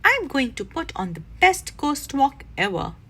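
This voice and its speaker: A woman voicing a boisterous, excitable father bear in a storybook with an emphatic, hammy delivery. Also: high voice, measured pace, full character voice. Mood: eager, booming.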